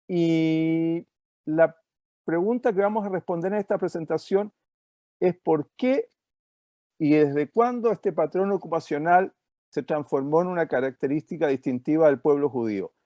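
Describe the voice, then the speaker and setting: A man from Chile with a Chilean Spanish accent, giving an online lecture over a video call and pausing often with filler sounds. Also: a little high, mellow, no echo. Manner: hesitant, many fillers. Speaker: guest speaker.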